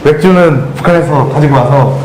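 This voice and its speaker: A man's voice: on the high side, normal speed, a little mellow. A young man answering as a panel guest, polite and earnest with some light humor. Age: young adult. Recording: echoey hall with faint noise.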